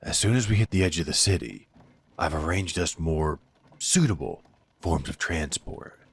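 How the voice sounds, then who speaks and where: Deep, husky werewolf right-hand man, acted by a man. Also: expressive, normal speed, heavily acted. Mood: reassuring, sly. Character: loyal, blunt, defiant werewolf right-hand man to a duke. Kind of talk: fantasy audio drama acting.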